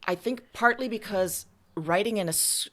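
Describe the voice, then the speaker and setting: A woman's voice: medium pitch, normal speed, fairly bright tone. A woman giving casual, candid interview answers as a writer, talking informally. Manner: candid, informal, occasional swearing. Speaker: interviewed writer and audio drama creator.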